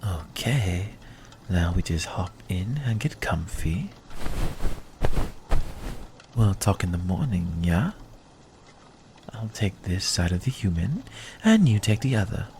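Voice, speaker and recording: Ancient vampire, acted: sly, guarded, low-voiced and unhurried, intimate ASMR roleplay delivery. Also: very animated, a little mellow, slight background noise, heavily acted. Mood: grudging, wary. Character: sly, wary vampire, centuries old, possessive.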